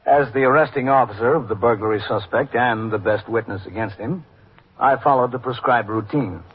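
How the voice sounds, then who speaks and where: A man's voice: mid-range voice, fairly quick, natural voice. An American man playing a dry, matter-of-fact police captain in a 1950s radio drama. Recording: dull, noisy old radio recording.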